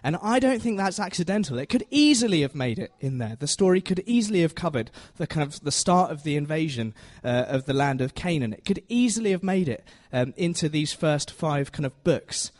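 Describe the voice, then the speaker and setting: A man's voice: on the high side, animated, brisk, hardly any pauses, darkish tone. A man giving an informal, conversational teaching sermon, self-deprecating and often checking in with his listeners. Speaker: preacher giving a Bible talk to a congregation.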